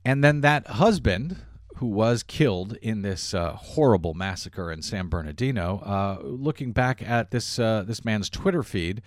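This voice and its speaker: A man's voice: lowish voice, lively intonation, a little slow, no echo, studio quality. A man hosting a political talk radio show, delivering a monologue in a sardonic tone with frequent fillers. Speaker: radio host.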